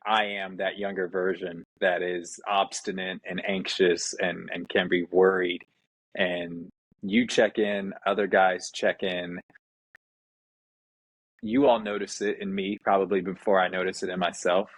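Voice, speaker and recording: A man's voice: deep voice, level tone, measured pace, no room sound, poor audio. A man hosting a conversational interview, talking in an earnest, reflective way. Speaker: interviewer, podcast host.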